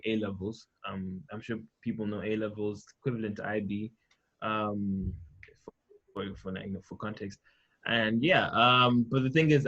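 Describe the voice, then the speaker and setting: A man's voice: lowish voice, sharp tone. A man, chatting casually and informally on a panel. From Zimbabwe. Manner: informal, peppers talk with "like" and "you know". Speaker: medical student panellist. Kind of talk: casual chat. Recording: muffled online call audio.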